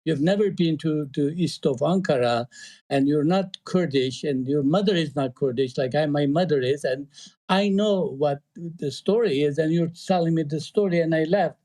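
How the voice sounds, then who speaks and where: Turkish-accented guy talking on a panel, mellow kinda highish voice, lots of ums and restarts. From Eastern Turkey. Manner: halting, with many fillers and restarts. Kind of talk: casual discussion. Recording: online audio call.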